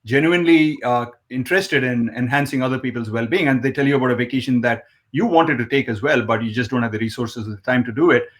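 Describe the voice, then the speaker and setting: A man hosts an interview in a friendly, affirming way. He thinks out loud as he builds his questions, with a few fillers along the way. His voice sits at an ordinary middle pitch. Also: quick pace, hardly any pauses. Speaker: host. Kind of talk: interview conversation.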